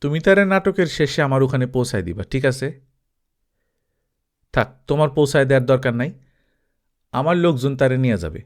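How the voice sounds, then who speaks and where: Storybook villain: rich, shady male village trader acted by a male reader, mid-pitched, animated intonation, brazen and domineering. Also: brisk, long pauses, lightly acted. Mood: commanding, smug. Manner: colloquial, self-justifying, rhetorical questions.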